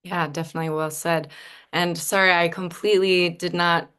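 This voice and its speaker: An American woman with a smooth, clear voice pitched slightly low. She moderates the panel casually, slipping in quick asides before handing over the floor. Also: little pitch movement, sharp tone. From United States.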